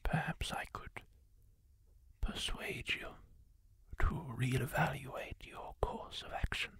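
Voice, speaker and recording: A man voicing a kindly masked healer in a fantasy roleplay, telling a grim story in a soft, husky whisper. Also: medium pitch, fairly even intonation, heavily acted. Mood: hushed solemn. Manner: slow, hushed, solemn storytelling to the listener. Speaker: ASMR roleplay performer.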